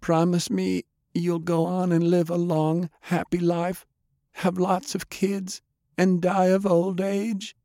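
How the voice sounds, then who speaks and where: A man's voice: sits high, little pitch movement, takes it slowly, warm tone, dry recording, clean studio sound, voice pushed up. A man voicing a sorrowful, pleading undead woman, tender yet resolute, in a dramatic audiobook style. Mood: tender insistence. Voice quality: trembling.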